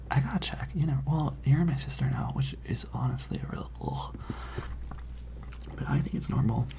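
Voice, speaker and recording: An ASMR performer playing a gossipy, dramatic high school student, rambling in a hushed, conspiratorial way. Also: a little slow, long pauses. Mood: sheepish, confessional. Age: teenage character. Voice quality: breathy whisper with creaky edges. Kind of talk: ASMR roleplay.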